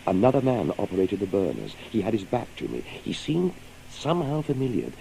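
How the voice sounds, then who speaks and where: An actor playing a pensive, hesitant father in a radio drama, halting and reflective. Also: fairly low pitch, huge pitch swings, creaky, dark tone, a little hiss or hum, exaggerated voice, rough recording. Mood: uneasy wonder. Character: pensive, hesitant father narrating his strange dreamlike adventures. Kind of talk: 1980s radio drama acting.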